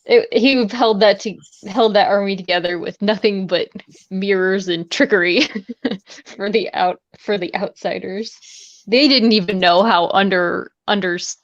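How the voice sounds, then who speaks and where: A woman co-hosting a casual book chat on a livestream, informal and a little halting. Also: medium pitch, fairly even intonation, no echo, dull audio. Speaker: livestream co-host discussing a book. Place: indoors, livestream call.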